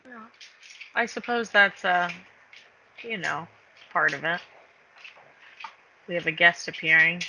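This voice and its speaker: A woman's voice: lowish voice, slow, long pauses, sharp tone, slight background noise, low-quality recording. A woman co-hosting a podcast, playful and teasing with guests. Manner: playful, teasing, laughs easily. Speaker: podcast co-host. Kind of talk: casual podcast chat.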